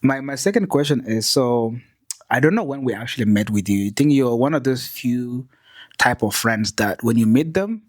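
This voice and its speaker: A man's voice: medium pitch, expressive, normal speed, no room sound. Guy hosting a chat show, talky and easy, dry close sound. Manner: chatty, friendly, many affirming "mm" and "yeah". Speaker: podcast host. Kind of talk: casual interview.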